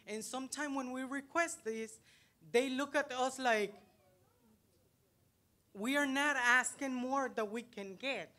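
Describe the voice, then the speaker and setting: A middle-aged woman from El Salvador with a Salvadoran Spanish accent, a parent speaking in halting English into a handheld mic in a library auditorium. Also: high voice, sharp tone. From United States, raised in El Salvador. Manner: earnest, halting English with searching pauses. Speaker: parent speaking at a public meeting. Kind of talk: plain-spoken public comment. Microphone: handheld microphone.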